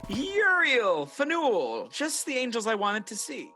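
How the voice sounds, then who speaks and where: Man acting a bright, animated, scheming fallen angel. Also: very high-pitched, slow, heavily acted. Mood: smooth, persuasive. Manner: theatrical, smooth, grandiose. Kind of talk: radio play acting. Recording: radio play recorded over video call, music behind.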